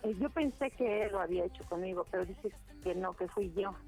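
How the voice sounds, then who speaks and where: A woman in her fifties, chatting tenderly as a radio guest and filling pauses with "este". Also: mid-range voice, creaky, darkish tone, soft background music. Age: 50s. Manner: chatty and tender, with many fillers. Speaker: guest dedicating a song. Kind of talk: casual radio interview.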